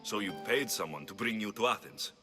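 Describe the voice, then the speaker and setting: An actor playing a tough but kind-hearted young Greek mercenary in a video game. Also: male voice, fairly low pitch, animated, brisk, over music, lightly acted. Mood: exasperated, fond.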